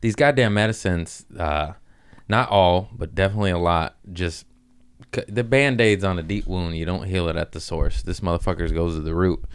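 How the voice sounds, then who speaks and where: A man's voice: low voice, moderate pace, clear, resonant tone. A man hosting a casual comic podcast, telling rambling stories in slangy, profanity-heavy talk. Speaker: podcast host.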